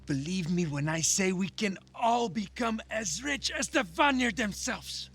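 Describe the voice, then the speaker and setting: An actor playing a commanding, far-travelled Viking jarl in a video game, speaking with measured authority. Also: male voice, high voice, animated, fairly bright tone, exaggerated voice. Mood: persuasive. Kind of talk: cinematic video game acting.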